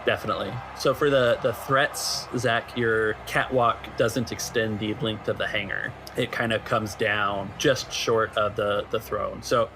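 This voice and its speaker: A man narrating a tabletop roleplaying game as game master, setting scenes in a halting, conversational way. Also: on the low side, steady tone, music in the background.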